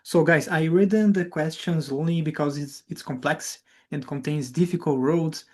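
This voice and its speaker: Halting Brazilian-accented male interviewer. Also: on the high side, a little mellow. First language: Brazilian Portuguese. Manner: halting, non-native English. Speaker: interviewer, host. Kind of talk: interview, partly reading prepared questions.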